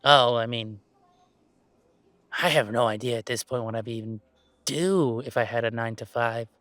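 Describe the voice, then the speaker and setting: A man playing a laid-back, self-deprecating young gamer in a roleplay audio drama, chatting in a halting, playfully exasperated way. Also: mid-range voice, fairly bright tone, no room sound, close to an everyday voice. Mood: casual, reflective. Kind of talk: roleplay audio drama, casual one-sided conversation.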